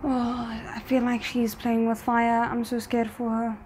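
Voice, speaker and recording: Higher-pitched woman reacting casually, some background noise. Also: completely flat delivery, a little slow, darkish tone. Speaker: reaction video host. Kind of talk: casual spoken reaction commentary.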